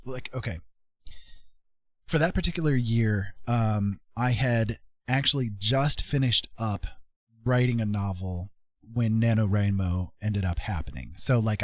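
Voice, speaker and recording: A man's voice: on the low side, no room sound. A man hosting a livestream, talking in a rambling, self-deprecating, casual way. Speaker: livestream host, author and artist.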